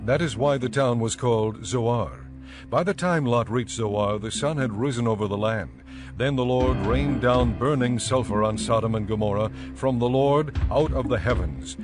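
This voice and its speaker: A man narrating scripture from a script in a measured storytelling manner. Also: mid-range voice. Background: faint background music.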